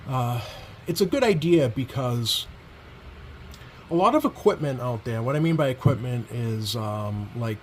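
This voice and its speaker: Casual man demonstrating gear over background noise. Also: medium pitch. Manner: informal, hesitant, thinking aloud while handling controls. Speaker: product reviewer. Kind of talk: casual hands-on product demo. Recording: clear steady background noise.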